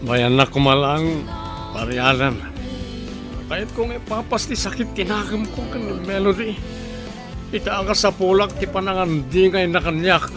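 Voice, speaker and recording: A man's voice: sits high, moderate pace, music in the background, close to an everyday voice. A man playing a heartbroken, abandoned husband and father in an Ilocano radio drama, lamenting his hurt. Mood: anguished. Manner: anguished, lamenting.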